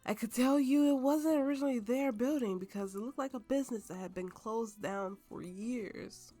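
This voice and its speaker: A woman playing a tipsy, haunted woman with magical powers, telling her story slowly and haltingly in an intimate ASMR roleplay over music. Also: a little high, close to an everyday voice. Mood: reminiscing. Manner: halting, drunken rambling with frequent laughs. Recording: voice over soft background music.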